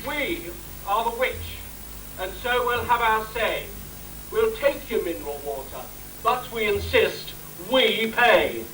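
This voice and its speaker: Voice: mid-range voice, expressive, slow pace. An amateur actor voicing a bossy, haggling witch in a declamatory Christmas pantomime style. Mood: insistent. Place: stage performance before a live audience. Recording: noisy echoey amateur stage recording, distant.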